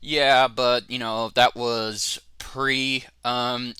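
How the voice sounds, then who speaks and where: A man's voice: mid-range voice, flat delivery, extremely slow, bright, forceful tone, clear, resonant tone, dry recording, clean studio sound. A man co-hosting a casual review chat, talking in an enthusiastic, informal way. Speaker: co-host of a fan review show.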